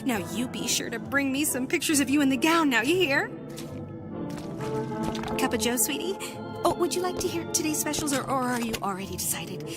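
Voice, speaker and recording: An actress playing a chirpy, gushing, folksy diner waitress in a video game. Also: female voice, high-pitched, animated, fairly quick, loud music behind the voice.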